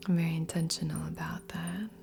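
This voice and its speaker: Female ASMR Reiki guide: breathy whisper, very level pitch, gentle and unhurried, over clearly audible music. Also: flat delivery. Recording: soft music under voice. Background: background music.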